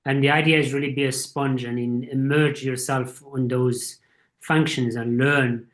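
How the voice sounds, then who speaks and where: Man, 40s, middle pitch; thoughtful interview guest who explains at length. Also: fairly even intonation, a little slow, a bit bright. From Morocco. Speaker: guest, supply chain executive. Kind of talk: casual interview.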